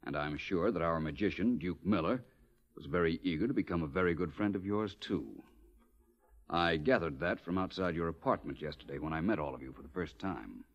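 An actor playing a suave, probing gentleman detective, polished and deliberate, in a 1940s radio drama. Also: low-pitched. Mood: quiet, probing. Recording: dull old radio recording.

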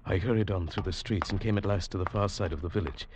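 An actor playing an uneasy traveller who narrates an eerie tale in a dramatic 1940s radio drama style. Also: male voice, low-pitched, quick pace, noisy background, natural voice. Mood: haunted, wondering. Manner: dramatic, hushed swelling to agitated. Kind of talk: 1940s radio drama acting, first-person scripted narration. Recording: old radio broadcast with music.

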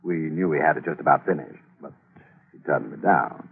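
Deep husky smug hitman, tinny old radio drama. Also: animated, exaggerated voice. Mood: smug menace. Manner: smug, teasing, slow menace. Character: sly menacing male killer, peanut-cracking, mocking. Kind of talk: 1940s radio acting.

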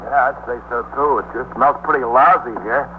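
Actor as a fretful New building super, noisy radio. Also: male voice, mid-range voice, steady tone, fairly quick, close to an everyday voice. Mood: worried. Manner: plain-spoken, colloquial, worried. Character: fretful, chatty male apartment building superintendent. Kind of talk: 1950s radio drama acting. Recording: tinny, noisy 1950s radio broadcast.

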